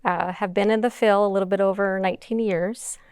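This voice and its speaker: A woman moderating a conversational stage panel, speaking in a halting way with frequent ums. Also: mid-range voice, steady tone, darkish tone, smooth, no room sound, studio quality. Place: conference stage.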